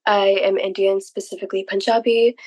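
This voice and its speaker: A woman's voice: medium pitch, flat delivery, fairly quick, a little mellow, husky. A friendly woman opens a podcast in an easy, chatty tone. She is from California, and her voice sits in a middle register. Now and then she drops in small fillers as she talks. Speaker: podcast host. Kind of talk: casual podcast intro.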